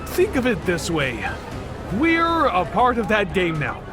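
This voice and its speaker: A woman's voice: mid-range voice, wildly swooping pitch, a little slow, over music, a crowd in the background. A woman playing a levelheaded young male office worker who reasons things through in an audio drama. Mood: calm, insistent.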